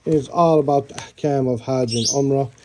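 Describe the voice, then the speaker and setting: A middle-aged American man showing and describing books in a relaxed, rambling way, with many fillers and restarts. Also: mid-range voice, a little fast, background noise. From United States. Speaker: book reviewer. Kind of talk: casual book show-and-tell.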